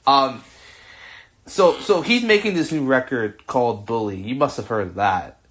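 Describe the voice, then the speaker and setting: Young guy hosting a podcast, mid pitch, rambly casual questions. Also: expressive, moderate pace, fairly bright tone. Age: 20s. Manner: rambling questions with many restarts and fillers. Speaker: podcast host. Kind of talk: casual interview chat.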